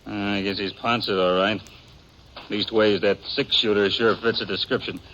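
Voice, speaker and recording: An actor playing an eager young cowboy in a 1950s radio western, a smooth talker with a folksy, hesitant American Western drawl. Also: male voice, fairly low pitch, fairly even intonation, normal speed, fairly bright tone, close to an everyday voice. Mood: explaining. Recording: muffled, noisy 1950s radio recording.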